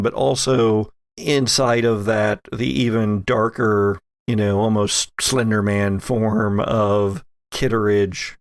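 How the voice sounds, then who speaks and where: A man works as game master, narrating a horror tabletop game with deliberate suspense. His pace is slow and his voice has a fair amount of fry. The sound is dry and close. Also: fairly low pitch, dry recording.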